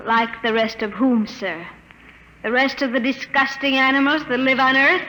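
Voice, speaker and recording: A woman's voice: high-pitched, fairly even intonation, moderate pace, sharp tone. An actress playing a proud, anxious young woman of a future Earth in a 1950s radio drama. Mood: hurt, icy. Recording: noisy old 1950s radio recording.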